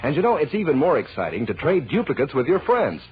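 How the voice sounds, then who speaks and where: A man's voice: fairly high pitch, wildly swooping pitch, fast talker, slightly rough, phone-quality sound. An American man announcing a 1940s radio advertisement with enthusiastic, folksy salesmanship.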